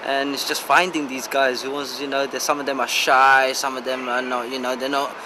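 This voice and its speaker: A man's voice: mid-range voice, flat delivery, a little fast, background noise. A man in his thirties, a football player, giving a casual interview in an eager, rambling, informal way. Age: 30s.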